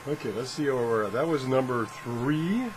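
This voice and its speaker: Guy doing a casual product test, medium pitch, thinks out loud, rambles, bit of background noise. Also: normal speed, low-quality recording. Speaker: host of a radio product test video. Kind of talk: casual unscripted product demonstration.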